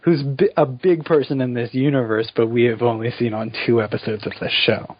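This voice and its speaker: A man's voice: mid-range voice, steady tone, brisk, slightly rough, no room sound. A man co-hosting a casual chat podcast, talking things through thoughtfully and steering the segments. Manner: thoughtful, leads the show's segments. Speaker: podcast co-host. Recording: dull lo-fi podcast audio.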